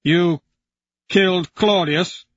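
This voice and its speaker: An older aristocratic father, loud and anguished, played by a male reader. His voice jumps high and strains against the shouting. The sound is dry and slightly dull. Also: takes it slowly, dry recording.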